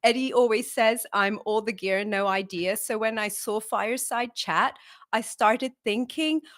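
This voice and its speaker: A woman from Portugal talks to an online audience as a conference speaker. Her voice sits at a medium pitch, and she tells things in an informal, chatty way. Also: a bit bright. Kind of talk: conversational talk to livestream audience.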